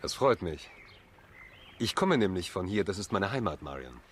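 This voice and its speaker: An actor playing a calm, observant male lawyer who narrates and talks in a German radio drama, with a husky voice. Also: sits low, moderate pace, slight background noise. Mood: confiding. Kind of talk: scripted first-person radio drama narration and dialogue.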